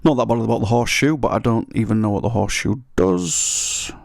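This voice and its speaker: A man with a croaky voice, giving rambling, self-deprecating commentary while playing an old computer game. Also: fairly low pitch, fast talker, a little mellow, no room sound, studio quality. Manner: rambling, self-deprecating, hesitant with many ums. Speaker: retro gaming YouTuber. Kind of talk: casual gameplay commentary.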